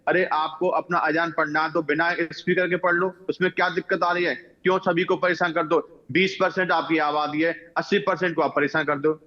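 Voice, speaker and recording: A man's voice: on the high side, level tone, a little fast, hardly any pauses, pushed and bright. A man arguing as a debate panelist in an accusatory, insistent way over dull call-in audio. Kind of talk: heated political argument.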